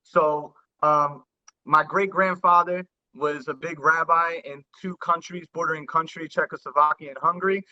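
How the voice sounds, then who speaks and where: A man in his thirties with a very bright, tense voice that sits fairly high. He talks earnestly in a casual interview, stopping and restarting often and leaning on "you know." The sound is dull and lo-fi, as if over a remote call. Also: steady tone, normal speed. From New York and New Jersey area. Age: 30s. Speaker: rapper guest.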